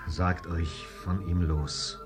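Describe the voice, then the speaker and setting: A man's voice: low voice, flat delivery, slow pace, music in the background. An actor in a German radio play playing an anguished, earnest man who pleads urgently and solemnly. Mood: pleading.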